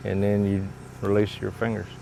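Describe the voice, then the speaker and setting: A man's voice: lowish voice, completely flat delivery, normal speed, creaky, noisy background. A man who founded a shooting range, interviewed outdoors on site, giving brief, matter-of-fact instructions.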